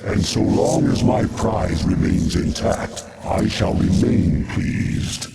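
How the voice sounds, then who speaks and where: A man voicing an ancient, godlike alien hive mind for a video game, grandiose and commanding, with solemn archaic phrasing and a gravelly voice. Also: on the low side, lively intonation, heavy background noise, heavily acted. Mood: pleased, grandiose. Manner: solemn, slow, archaic pronouncements. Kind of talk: video game villain monologue.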